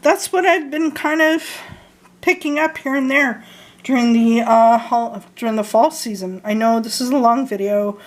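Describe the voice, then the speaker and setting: A young woman from Canada chatting casually about her fragrance purchases, hoarse and losing her voice, hesitant with many ums and frequent throat clearing. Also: fairly high pitch, normal speed. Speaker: vlogger showing fragrance purchases. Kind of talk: casual haul chat.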